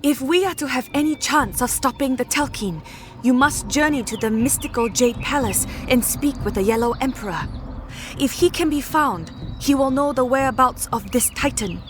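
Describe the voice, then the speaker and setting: A woman's voice: sits high, expressive, normal speed, over music. An actress playing a solemn female warrior-scholar of an ancient order in a video game, speaking with earnest, formal drama. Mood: grave, earnest.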